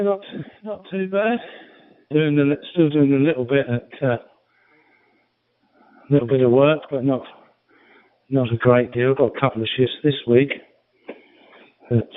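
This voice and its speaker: Mature male radio hobbyist, understated and slow, medium pitch, thin band-limited transmission. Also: no echo. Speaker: amateur radio operator. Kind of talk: casual radio chat. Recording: narrow-band, phone-like radio link.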